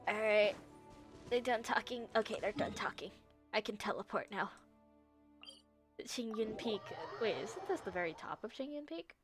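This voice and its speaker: A woman's voice: fairly high pitch, animated, normal speed, long pauses, music in the background. A woman streaming a game, chatting and commenting in an offhand, slangy way. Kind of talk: casual chat and game commentary.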